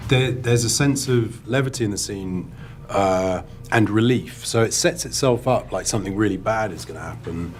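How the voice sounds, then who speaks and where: A man's voice: fairly low pitch, moderate pace, hardly any pauses. A man in an interview, thoughtfully explaining how a scene is built.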